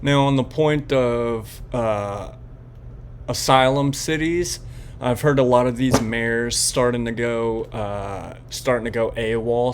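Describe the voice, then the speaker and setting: Casual man podcasting over background noise. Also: medium pitch. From Florida resident of Puerto Rican background. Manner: informal, slangy, halting with pauses. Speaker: podcast host. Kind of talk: casual political commentary.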